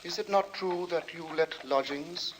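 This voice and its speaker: An actor playing a pious, weary and secretive gentleman lodger in a suspense radio drama, speaking formally with hesitant precision. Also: fairly high pitch, measured pace, noisy background, lightly acted. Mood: reserved, formal. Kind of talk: old-time suspense radio drama acting.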